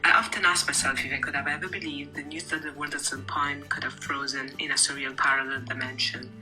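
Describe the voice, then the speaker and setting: Woman reading a personal essay, really deep voice, music playing behind. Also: flat delivery, a little fast, bright, forceful tone, rough recording. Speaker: reader of a literary text. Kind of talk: scripted first-person narration, reading an essay aloud.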